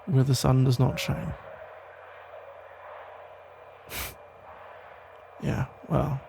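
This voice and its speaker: A man playing a protective, tender police officer ex-boyfriend in an intimate ASMR roleplay, speaking in halting, reassuring phrases with a gravelly voice. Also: a little high, darkish tone, a little hiss or hum. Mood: wry, protective. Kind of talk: intimate ASMR roleplay, soft conversational acting.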